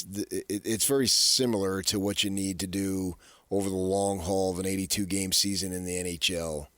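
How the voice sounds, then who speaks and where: A man with an American accent and a notably low voice co-hosts a sports discussion. He talks casually, feeling his way through halting, reworked phrases. The sound is close and dry. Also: a bit bright, no echo. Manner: hesitant, halting phrasing with self-corrections. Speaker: sports radio co-host.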